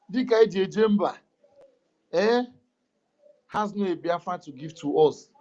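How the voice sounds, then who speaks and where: Impassioned high-voiced Nigerian man. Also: darkish tone, highs cut off. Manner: emphatic, repetitive, rhetorical questions. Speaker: speaker in an online audio room. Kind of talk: impassioned call-in speech.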